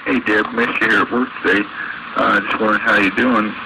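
An old answering machine tape buried in loud noise carries a man's voice. He is low and creaky, leaving a casual message with some hesitation. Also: steady tone, a little fast. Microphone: telephone to answering machine.

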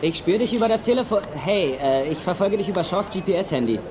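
Male sidekick, slightly high, hesitant and stammering, noisy film ambience. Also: expressive, fairly quick. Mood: nervous, reassuring. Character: nervous, hesitant male tech-savvy sidekick. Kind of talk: dubbed film acting.

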